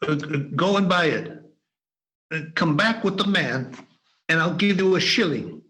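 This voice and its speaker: A man acts out an overjoyed old miser reborn for a radio play. He is exuberant and stammering, with a highish voice that swoops up and down. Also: expressive, unhurried, sharp tone, poor audio. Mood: eager delight. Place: performed remotely over a video call.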